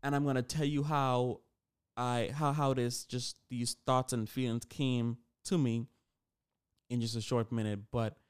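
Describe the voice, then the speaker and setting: Teenage man, smooth clear voice, medium pitch, casual podcast host, conversational, self-correcting. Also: little pitch movement, unhurried. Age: 18 years old. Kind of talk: casual solo podcast talk.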